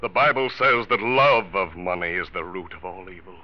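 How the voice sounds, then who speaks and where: An actor playing a calm, grave older uncle who gently reproves, in a 1940s radio drama. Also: male voice, low voice, moderate pace, close to an everyday voice. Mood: sadly reproving. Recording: muffled old radio recording.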